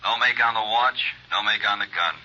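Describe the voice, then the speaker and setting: An actor playing a brisk, obliging American police records clerk on the phone in an old radio drama. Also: male voice, lowish voice, sharp tone, highs cut off, slight background noise.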